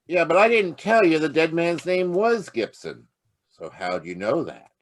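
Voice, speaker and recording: A man's voice: fairly high pitch, very animated, normal speed. A man playing a plain-spoken, slightly hesitant police lieutenant in an old-time radio detective drama. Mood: firm. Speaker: actor. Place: live online video call.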